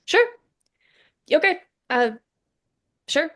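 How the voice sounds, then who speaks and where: A woman's voice: high voice, sing-song, very slow, a bit bright, exaggerated voice. Awkward, hesitant young man, read by a woman. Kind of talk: sitcom table read.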